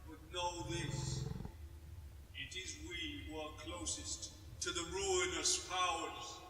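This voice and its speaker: Forceful, echoing heathen warlord. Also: male voice, fairly high pitch, flat delivery, slow pace, far from the mic, a little hiss or hum. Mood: menacing zeal. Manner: declamatory, solemn, threatening. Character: menacing northern heathen warlord, proud and zealous. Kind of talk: fantasy character monologue.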